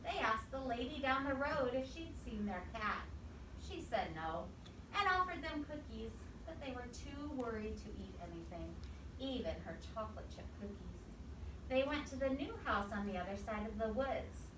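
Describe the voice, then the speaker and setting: A woman reading a storybook aloud to young children, expressive, pausing to ask simple questions and repeat answers. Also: on the high side. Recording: echoey, distant mic, clear background noise.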